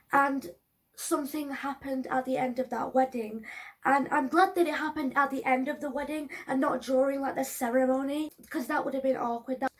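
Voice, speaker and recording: A woman in her early twenties, hoarse from allergies, talking to camera in a chatty, rambling way with many ums and restarts. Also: sits high. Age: early 20s. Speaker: vlogger. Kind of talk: casual talk to camera.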